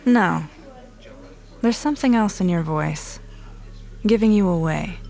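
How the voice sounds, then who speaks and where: An actress playing a sharp-eyed, no-nonsense female detective in a noir audio drama, deadpan and sardonic. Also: mid-range voice, a little slow, gravelly. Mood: cool, probing. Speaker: detective and narrator. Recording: music behind the voice.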